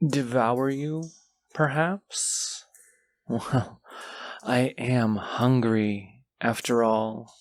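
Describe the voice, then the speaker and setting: Man voicing a haughty, very slow-talking menacing dragon. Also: mid-range voice, fairly even intonation, dry recording. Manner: slow, deliberate, pompous, savoring threats. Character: menacing, haughty wounded dragon guarding its hoard. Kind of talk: fantasy audio roleplay acting.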